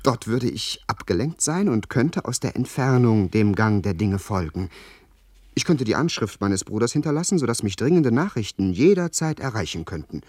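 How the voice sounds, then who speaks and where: A highly strung young gentleman in a radio play, scheming and engaged to be married. His voice is lowish and husky and moves in wide swings. He speaks in polished, theatrical phrases, close and dry. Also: big pitch swings, moderate pace, no room sound. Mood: anxious. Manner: theatrical, well-spoken, self-dramatizing. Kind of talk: German radio play acting.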